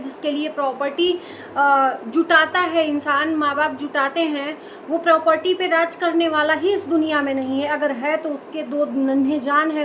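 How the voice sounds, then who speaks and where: A high-pitched woman rants in an indignant, scolding commentary, firing rhetorical questions at her viewers. Faint ambient noise sits under her voice. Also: fairly bright tone. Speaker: video commentator. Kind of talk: impassioned commentary monologue.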